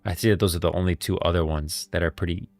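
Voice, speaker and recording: A man's voice: sits low, steady tone, quick pace, vocal fry, a little mellow, no echo, faint music behind. A man hosting a livestream, talking casually about game lore and rambling as he thinks aloud. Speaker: livestream host.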